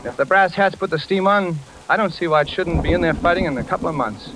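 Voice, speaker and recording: An actor playing a brisk, slangy engineer who frets over his friend in a 1940s radio drama. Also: a little high, natural voice. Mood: brisk, cheerful. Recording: noisy, crackling vintage radio recording.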